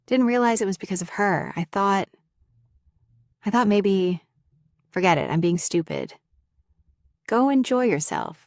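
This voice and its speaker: Casting: woman to play clingy, jealous young female roommate, lovestruck; lowish register, halting confessional delivery, very dry intimate ASMR sound. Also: gravelly, darkish tone, no echo. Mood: wistful, possessive. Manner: halting, confessional, addressing the listener directly. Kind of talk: intimate ASMR roleplay acting.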